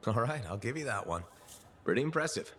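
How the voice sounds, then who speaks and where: A man's voice: medium pitch, expressive, a little fast, fairly bright tone, a little hiss or hum, close to an everyday voice. A man playing a confident, wry young hero in a video game, talking in a dry, level-headed way. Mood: teasing. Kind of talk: video game cutscene acting.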